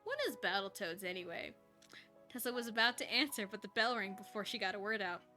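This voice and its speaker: A woman's voice: medium pitch, fairly quick, pushed and bright. A woman streamer reading a story aloud in a playful, giggly way, with casual asides. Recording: music behind the voice.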